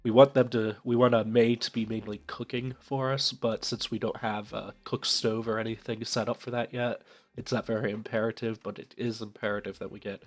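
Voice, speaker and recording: A young man with a stuffed-up, nasal voice from a cold, casually commenting on a game while thinking aloud with many fillers. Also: on the low side. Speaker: let's play host.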